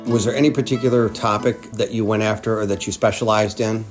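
Lowish voice guy interviewer, chill curious questions. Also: steady tone, fast talker, music in the background. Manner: curious and informal.

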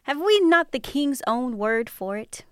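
A woman's voice: high-pitched, measured pace, clean tone, no room sound, studio quality, pitched above the performer's own voice. A woman reading aloud voices a bold, assured female traveler who trusts the king's word. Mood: confident. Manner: calm, assured.

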